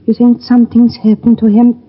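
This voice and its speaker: An actress playing a puzzled, concerned sister with a husky voice and formal, questioning manner in a 1940s radio drama. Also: female voice, a little high, flat delivery, fairly quick. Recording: dull old radio recording.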